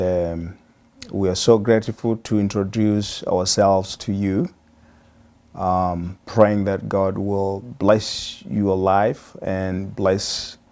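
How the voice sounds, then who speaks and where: A man from Tanzania in his forties, a pastor telling his life story in an interview, with many pauses and fillers. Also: sits low, darkish tone.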